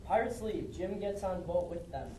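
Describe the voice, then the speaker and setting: High-voiced man narrating in an echoey room. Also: lively intonation, moderate pace, warm tone, a little hiss or hum. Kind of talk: scripted amateur puppet show narration. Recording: echoey room, distant mic, music behind.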